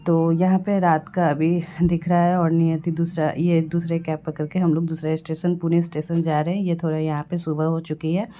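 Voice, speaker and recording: A young woman from Bihar with a sore throat, chatting through a travel vlog voice-over and clearing her throat and coughing along the way. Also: low voice, flat delivery, moderate pace, few pauses, mellow, lo-fi sound. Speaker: vlogger.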